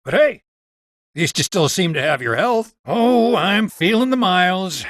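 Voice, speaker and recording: A folksy, wry country doctor from a video game, speaking in an easygoing Southern US drawl. His voice sits a little high for a man and moves up and down expressively. The recording is dry and close. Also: lively intonation, measured pace, a bit bright, no room sound. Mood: friendly, wry. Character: folksy, wry small-town doctor and researcher. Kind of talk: character dialogue in a video game.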